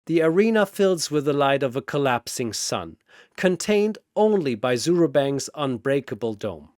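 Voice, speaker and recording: A man's voice: medium pitch, big pitch swings, normal speed, darkish tone, dry recording, clean studio sound. A man giving dramatic scripted narration of a fantasy battle, theatrical and emphatic, as narrator and announcer.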